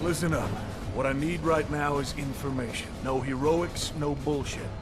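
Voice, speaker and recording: A man playing a gruff, blunt, foul-mouthed marine captain who addresses troops in a commanding way in a video game. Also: on the high side, moderate pace, over loud music, lightly acted. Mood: stern. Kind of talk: military briefing and rallying speech in video game.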